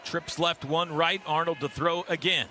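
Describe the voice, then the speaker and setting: Guy doing live radio football play by play, higher-pitched voice, clipped phrases, lots of stadium noise behind. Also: fairly even intonation, moderate pace, sharp tone. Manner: clipped, vivid running description that swells on big plays. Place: stadium broadcast booth. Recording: clearly noisy live stadium broadcast.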